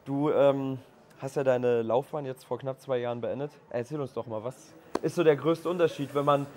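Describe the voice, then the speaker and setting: A man's voice: medium pitch, fairly even intonation, normal speed, darkish tone, slight background noise. Chatty young male sports interviewer. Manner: friendly, chatty, adds tag questions. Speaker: sports show host. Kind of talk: casual interview questions.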